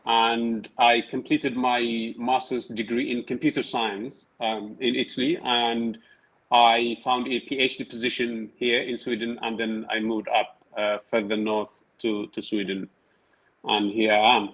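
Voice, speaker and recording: Man, from Tigray, Tigrinya native, 30s, interview guest, measured, hesitant, many fillers. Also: mid-range voice, little pitch movement, a bit bright, poor audio. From Tigray, Ethiopia. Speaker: guest, writer and PhD researcher. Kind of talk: casual interview conversation.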